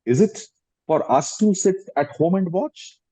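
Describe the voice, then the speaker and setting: Mellow, husky Indian man on a call. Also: high voice, lively intonation, measured pace. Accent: Indian English. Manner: explanatory, restarts phrases, emphatic rises. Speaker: guest actor. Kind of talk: interview answers over a video call. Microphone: video call. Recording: dull lo-fi online call audio.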